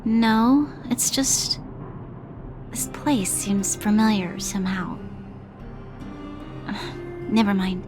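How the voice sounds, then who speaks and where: A woman playing a calm, helpful young adventurer in a video game, speaking in an earnest, composed way. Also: mid-range voice, extremely slow, long pauses. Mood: puzzled. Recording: music behind the voice.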